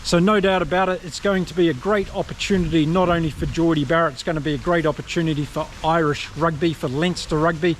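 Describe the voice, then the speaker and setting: A man's voice: on the high side, steady tone, fast talker, few pauses, a little hiss or hum. A man with a New Zealand accent giving casual, upbeat rugby commentary straight to his viewers. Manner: chatty, upbeat, addresses viewers directly. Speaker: rugby vlogger. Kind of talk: casual solo commentary to camera.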